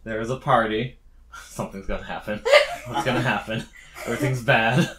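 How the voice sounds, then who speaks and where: A man's voice: medium pitch, steady tone, brisk, heavy background noise. A man narrating a tabletop roleplaying game as its game master.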